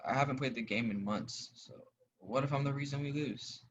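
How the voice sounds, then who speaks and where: A man's voice: mid-range voice, a little fast, lightly acted. Dull lo-fi audio with faint noise, actor as grieving young man, self-doubting, unsure, apologetic, drama dialogue. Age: young adult. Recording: dull, noisy lo-fi audio with clicks.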